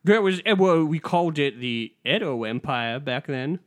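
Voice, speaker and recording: A man doing improvised comedy, making boastful deadpan claims in a casual, animated way. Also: on the high side, moderate pace.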